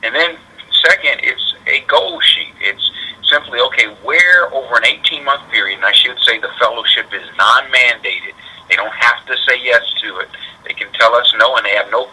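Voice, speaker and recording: An American man being interviewed over the telephone, explaining things in a deliberate, measured, emphatic way. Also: medium pitch, bright, forceful tone, husky. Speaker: interviewee, community safety director. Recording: radio-like telephone line.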